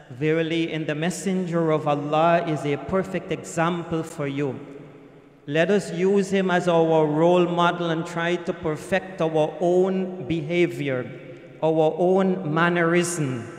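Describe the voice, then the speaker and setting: A middle-aged man giving a Friday sermon in a mosque, speaking into a stand mic, slow and measured, with rhetorical questions and chanted scripture. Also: a little high. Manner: chants Arabic scripture quotations. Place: mosque prayer hall, indoors. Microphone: stand mic at the mouth. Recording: echoey prayer hall with long reverb.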